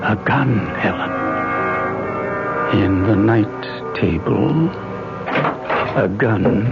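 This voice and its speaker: A man's voice: on the low side, drawn-out words, creaky, exaggerated voice. An actor playing an obsessed retired physics professor in a radio drama, an older man with a grave, formal, emphatic manner. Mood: hushed, hypnotic, insistent. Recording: dull lo-fi vintage radio broadcast, music.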